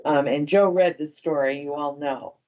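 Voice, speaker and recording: A woman speaking as a medical center director, giving an informal, halting and appreciative award speech to a group. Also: sits low, warm tone, dull audio.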